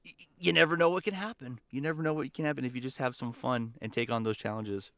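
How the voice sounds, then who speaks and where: A man's voice: medium pitch, fairly even intonation, quick pace, vocal fry, a bit bright, no echo. Encouraging man giving career advice, dry close sound. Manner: encouraging, emphatic with repeated key phrases. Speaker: voice actor and teacher, podcast guest. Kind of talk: conversational interview advice.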